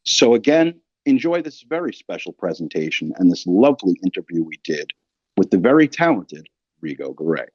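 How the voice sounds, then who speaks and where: Animated man hosting a podcast. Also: low-pitched, moderate pace, slightly rough, no echo. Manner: emphatic, colloquial. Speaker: podcast host. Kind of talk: podcast introduction, opinionated monologue. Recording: dry, slightly dull close recording.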